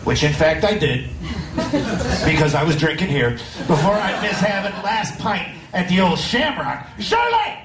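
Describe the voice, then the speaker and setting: A man playing a blustering, hard-drinking old police captain in a comedic radio drama, loud and folksy. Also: high-pitched, sing-song, a little slow, far from the mic, full character voice. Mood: boisterous. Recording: roomy, off-mic, music behind.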